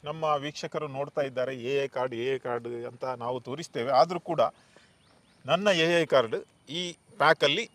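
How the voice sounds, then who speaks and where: A man's voice: medium pitch, normal speed, fairly bright tone. A man hosting an interview with a coastal Karnataka accent, putting questions and summing up points for viewers. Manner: repeats and sums up answers. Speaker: talk show host.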